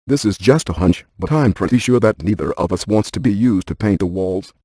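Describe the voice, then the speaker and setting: An actor playing a brainy, dryly cautious ghost hunter scientist in a video game, speaking in a deadpan, precise, technical way. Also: male voice, on the low side, brisk, husky, dry recording, clean studio sound. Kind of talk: scripted video game character dialogue.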